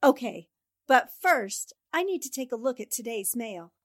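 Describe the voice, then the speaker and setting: A woman's voice: a little high, expressive, unhurried, dry recording, studio quality. A woman acting a determined, easily exasperated newspaper reporter in a 1940s-style radio drama. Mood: wary, businesslike.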